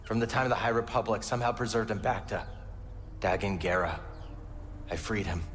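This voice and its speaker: A man's voice: on the low side, brisk, a bit bright, in a large hall, a little hiss or hum, close to an everyday voice. An actor playing an earnest, somewhat weary young man, a game hero who speaks in a terse, understated way. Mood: grave. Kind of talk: video game cutscene acting.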